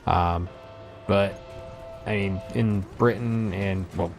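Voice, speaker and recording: A man with a low voice hosts a video game let's play in casual conversation. He comments on the play as it goes, with clear music and vehicle noise from the game behind him. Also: fairly even intonation, takes it slowly. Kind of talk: casual gameplay commentary and chat.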